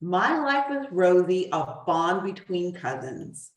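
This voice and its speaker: A woman with a slightly low voice reads a picture book to children. She speaks deliberately and expressively, leaving pauses between phrases. The sound is roomy and echoey, picked up at some distance from the mic. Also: big pitch swings. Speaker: guest author reading her book. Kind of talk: children's storybook reading. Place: echoey room, away from the mic.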